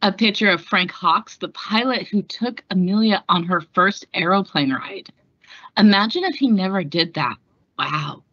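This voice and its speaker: A woman's voice: medium pitch, animated, a little slow, bright, forceful tone, no room sound, muffled recording. A woman reading a children's storybook aloud in a playful, chatty way, adding her own exclamations. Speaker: read-aloud host.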